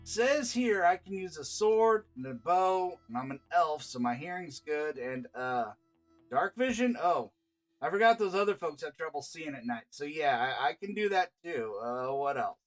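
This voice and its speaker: Man doing a laid-back southern-drawling elf, pitched up. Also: lively intonation, normal speed, faint music behind, close to an everyday voice. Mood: casual, confident. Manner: drawling, carefree, rambling.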